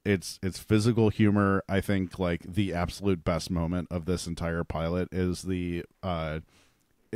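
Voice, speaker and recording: A man's voice: low voice, a little mellow, no room sound. A man co-hosting a podcast, chatting casually about television. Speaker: podcast co-host. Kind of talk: casual TV review chat.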